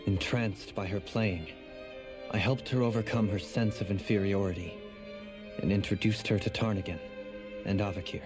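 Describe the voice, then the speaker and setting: An actor playing a refined, melancholy nobleman in a video game, speaking in a formal, measured, solemn way. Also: fairly low pitch, steady tone, lightly acted. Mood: wistful. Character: refined, melancholy young nobleman and sworn lord. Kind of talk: dramatic video game voice acting. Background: prominent music.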